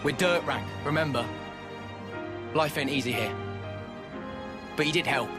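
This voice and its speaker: An actor playing a humble, grateful low-ranking soldier in a video game cutscene, speaking plainly, earnestly and a little haltingly over music. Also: male voice, close to an everyday voice.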